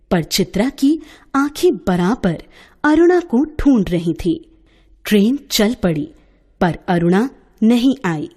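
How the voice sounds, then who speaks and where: A woman storyteller giving an expressive scripted narration of a bedtime story. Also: mid-range voice, husky.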